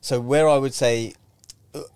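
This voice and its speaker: A man's voice: medium pitch, fairly even intonation, unhurried, darkish tone, clear, resonant tone. A Greek-born man in his forties, a public speaking coach, chatting casually and explaining his points with examples. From Greece. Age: 40s. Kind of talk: casual podcast chat.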